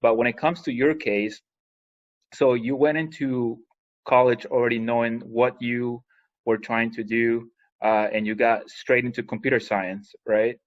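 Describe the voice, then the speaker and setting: A man's voice: medium pitch, little pitch movement, lo-fi sound. A man from Peru in his thirties, hosting an interview in a chatty, rambling way. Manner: chatty, rambling, quick asides. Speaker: podcast host. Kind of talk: casual interview talk.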